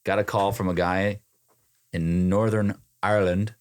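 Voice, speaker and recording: A young man with a very low voice, recorded close and dry with no room sound. He chats casually on a podcast and jokes and teases his co-host. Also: level tone, a little slow, clean tone, no room sound. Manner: joking, teasing. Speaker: voice-over talent, podcast co-host. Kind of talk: casual chat.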